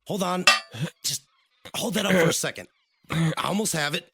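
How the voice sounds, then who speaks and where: A man acting in an audio drama as a brisk, exasperated take-charge guy fixing a boat. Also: sits high, very noisy. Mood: straining, exasperated.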